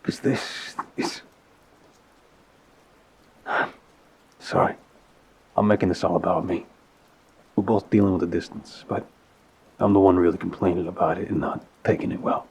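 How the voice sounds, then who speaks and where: A man's voice: deep voice, fairly even intonation, gravelly, a little mellow, slightly rough, heavily acted. A man acting an apologetic, lovesick mafia boss in an intimate audio roleplay, speaking hesitantly and sincerely with sighs. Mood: flustered, apologetic. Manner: halting, hesitant, sighing, sincere.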